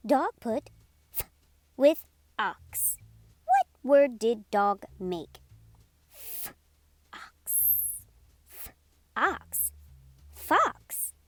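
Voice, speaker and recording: A woman narrating a children's read-aloud in a deliberate, sing-song way as storytime host. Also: sits high, huge pitch swings, no echo.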